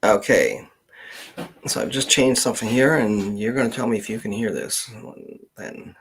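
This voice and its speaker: A man's voice: fairly low pitch, moderate pace, slight background noise. A man from Canada hosting a casual livestream, thinking aloud in a distracted mutter as he fiddles with his equipment. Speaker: livestream host, genealogist.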